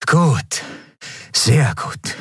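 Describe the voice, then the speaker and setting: A man voicing a female alien starship captain who speaks in a measured, calm way. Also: no room sound, clean studio sound. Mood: relieved.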